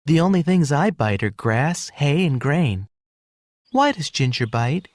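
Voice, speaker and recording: A man's voice: a little high, big pitch swings, unhurried, no room sound, clean studio sound, voice pushed up. A man reading a children's storybook, voicing a polite, earnest young horse.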